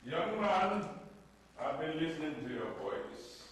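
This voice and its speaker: A man's voice: on the high side, very animated, slow, slight background noise. An actor playing an overprotective, domineering middle-aged father in a screen drama, with a pompous, theatrical manner. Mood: indignant, scolding. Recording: roomy echo, music underneath.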